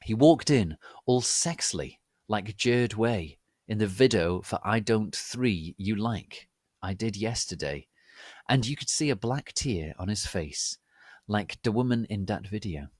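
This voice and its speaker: A man giving a theatrical, melodramatic dramatic reading of fan fiction as narrator, with a deadpan commitment to the text. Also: lowish voice, unhurried, dry recording, studio quality. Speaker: narrator, reader.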